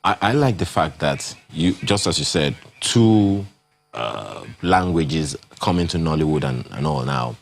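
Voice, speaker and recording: A man hosting a TV talk show, putting questions to his guests in a measured way. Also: very deep voice, animated, vocal fry. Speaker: TV host. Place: TV studio.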